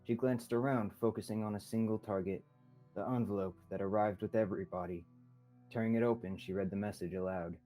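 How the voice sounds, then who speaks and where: A man's voice: on the low side, steady tone, a little fast, rough recording. A young man reading scripted narration as the storyteller of a student radio drama. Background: soft music.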